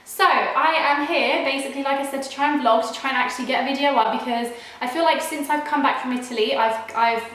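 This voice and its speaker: A woman's voice: high voice, quick pace, few pauses, fairly bright tone. A young woman vlogging from home while ill, nasal and rambling, with lots of "like" and restarts. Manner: rambling and chatty, full of "like" and restarts. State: unwell with a cold. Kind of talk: casual chat to camera. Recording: very echoey room.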